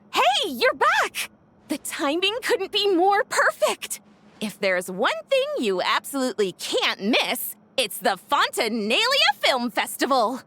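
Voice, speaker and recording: A woman's voice: high-pitched, huge pitch swings, takes it slowly, pushed and bright, heavily acted. A woman playing a perky, confident young film director in a video game, cheerful and self-assured. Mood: upbeat.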